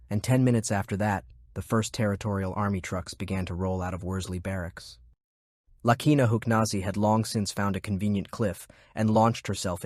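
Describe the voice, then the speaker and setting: A man reading scripted fiction as an audiobook narrator in a measured, descriptive manner. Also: on the low side, darkish tone, no echo, studio quality.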